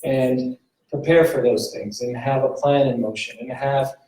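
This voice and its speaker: A man's voice: mid-range voice, fairly even intonation, unhurried, dark tone, recorded from a distance. A man who is a palliative medicine physician, speaking earnestly on a panel and repeating words as he searches for them. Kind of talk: panel answer. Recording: roomy hall from off mic.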